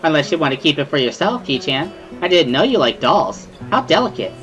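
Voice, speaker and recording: A man voicing a bubbly, loud teenage schoolgirl who teases in a gushing, sing-song way. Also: on the high side, very animated, brisk, over music, pitched above the performer's own voice. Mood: teasing.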